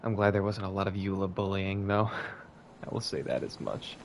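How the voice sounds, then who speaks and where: A man's voice: deep voice, moderate pace, noisy background. A man streaming a video game, thinking aloud and swearing now and then as he plays. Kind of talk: casual gameplay commentary. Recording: game music playing behind. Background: game music.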